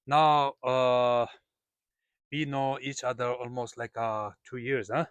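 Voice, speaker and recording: Brief: man, interviewer, halting speech full of fillers, dry close sound. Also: mid-range voice, little pitch movement, a bit bright, smooth, no echo. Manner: hesitant, many fillers, non-native phrasing. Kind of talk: casual interview questions.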